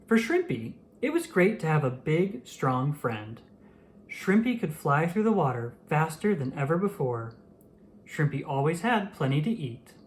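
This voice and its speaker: A storyteller reading a children's picture book aloud in an expressive, animated way. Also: mid-range voice, measured pace, slight background noise. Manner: animated, acts out the dialogue.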